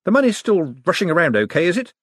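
Man voicing a glib smooth-talking banker. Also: high-pitched, very animated, fast talker, a bit bright, dry recording, clean studio sound, pitched above the performer's own voice, full character voice. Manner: smooth and persuasive. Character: glib, fast-thinking male con man turned banker.

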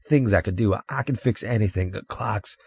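A man acts out a worn-out stranger in an audiobook. The voice is low, dry and raspy, with halting, exhausted phrasing. The sound is close and dry. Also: darkish tone, no echo, exaggerated voice. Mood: desperate. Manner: halting, tired. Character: weary, hungry, stooped stranger, a worn-out man.

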